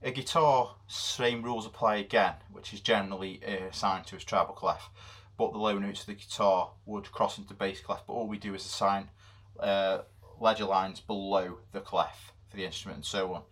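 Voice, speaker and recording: Deep-voiced man, halting music lesson. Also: fairly even intonation, a bit bright. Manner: unscripted, halting explanation with many fillers. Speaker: music teacher. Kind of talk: casual music theory lesson.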